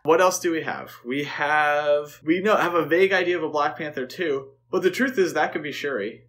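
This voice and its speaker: A man's voice: on the high side, moderate pace, fairly bright tone. A man co-hosting a podcast, chatting casually and speculatively about films. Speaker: podcast co-host. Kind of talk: casual film discussion podcast.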